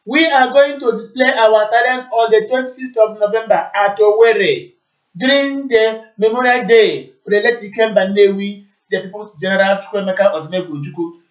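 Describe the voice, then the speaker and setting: Male Igbo Nigerian talk host, high voice, formal announcing delivery, heard through a distant, muffled stream. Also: fairly quick. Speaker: radio call-in show host. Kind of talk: live broadcast announcing and answering callers. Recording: echoey, distant, muffled internet radio stream.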